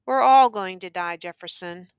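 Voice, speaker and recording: A woman's voice: fairly low pitch, normal speed, clean tone, no echo, muffled recording, close to an everyday voice. A woman voicing an earnest, exasperated male schoolteacher who pleads insistently in educated diction in an audiobook story. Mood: pleading.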